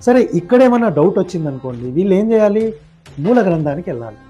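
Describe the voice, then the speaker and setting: A man's voice: sits high, very animated, quick pace, dark tone, lo-fi sound, faint music behind. A man speaking as a podcast guest, explaining his opinions point by point in a didactic, conversational way.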